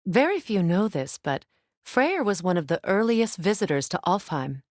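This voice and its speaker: An actress playing a proud, battle-hardened middle-aged warrior goddess in a video game, with a measured, commanding, dry manner. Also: female voice, medium pitch, sing-song, a little mellow, no echo, close to an everyday voice. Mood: calm, reflective. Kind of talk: video game character dialogue and battle callouts.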